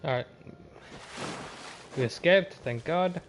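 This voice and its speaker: A man's voice: fairly high pitch, slow pace, slight background noise. A man livestreaming a game with casual, blunt, profane commentary and jokey asides. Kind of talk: casual reactive gaming commentary. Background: loud game music.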